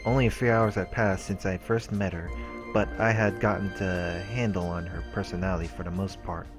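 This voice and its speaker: A man's voice: low voice, little pitch movement, a little fast. A man hosting a let's play, reading game narration aloud and adding casual commentary. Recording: clear game music behind the voice. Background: visual novel music.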